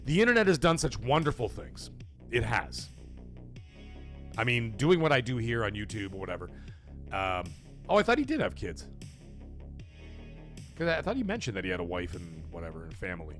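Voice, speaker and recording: A man's voice: fairly low pitch, huge pitch swings, moderate pace, pauses often, a bit bright, faint music behind. A middle-aged man hosting a gaming livestream, talking casually and rambling, swearing freely as he goes.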